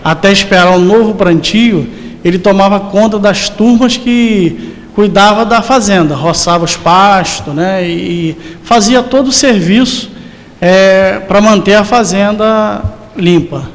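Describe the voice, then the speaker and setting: A man in his forties with a high-pitched voice. He answers as an interview guest, politely and simply, often hesitating and adding tag questions. The recording rings like a large hall. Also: measured pace. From rural interior of Rio de Janeiro state, Brazil. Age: 40s. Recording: reverberant hall.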